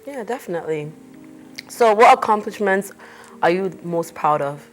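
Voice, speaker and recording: A woman's voice: medium pitch, expressive, moderate pace, soft background music, poor audio. A woman hosting an oral history interview, asking brief, plain questions.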